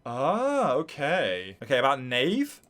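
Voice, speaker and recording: A man giving casual live-stream reaction commentary, excitable and thinking out loud to his viewers. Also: mid-range voice, slow. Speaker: streamer reacting to game voice lines.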